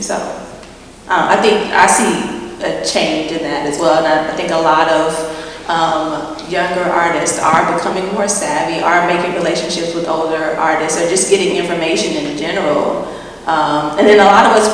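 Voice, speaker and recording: A young woman artist on a panel, speaking earnestly and steadily, with frequent ums. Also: lowish voice. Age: young adult. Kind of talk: panel discussion answer. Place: large gallery hall with audience. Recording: echoey hall, distant mic, faint noise.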